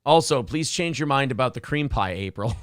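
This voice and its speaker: A man's voice: medium pitch, expressive, moderate pace, dry recording, clean studio sound. A man hosting a casual talk show, rambling and profane, breaking into silly quoted voices now and then. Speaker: podcast host.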